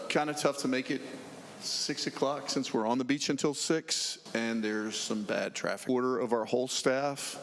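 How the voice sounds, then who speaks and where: A man of middling pitch speaks as a chief lifeguard, loose and relaxed with a few "um"s. His voice rings in a reverberant hall with faint noise behind. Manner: relaxed, casual, with fillers. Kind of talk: casual introduction at a meeting. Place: town council chamber.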